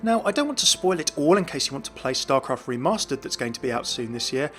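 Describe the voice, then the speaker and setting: A man's voice: fairly high pitch, big pitch swings, fast, fairly bright tone, faint music behind. A man hosting a video, giving scripted narration of video game lore.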